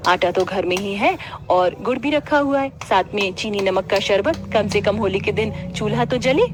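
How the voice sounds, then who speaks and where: A woman playing a gentle, resilient poor mother in a Hindi cartoon story. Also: medium pitch, moderate pace, close to an everyday voice. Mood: gentle, resigned.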